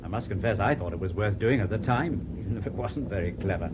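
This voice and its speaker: An actor playing a steadfast British merchant navy officer held prisoner, speaking frankly in a measured, dogged way with a British accent. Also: male voice, fairly low pitch, lively intonation, lightly acted. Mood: rueful, apologetic. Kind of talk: radio drama acting. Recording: dull, noisy old radio recording.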